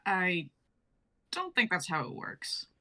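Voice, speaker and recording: Brief: actress as a skeptical young woman, bright voice with a dry edge. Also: lowish voice, big pitch swings, slow, exaggerated voice. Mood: doubtful. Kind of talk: amateur dramatic reading.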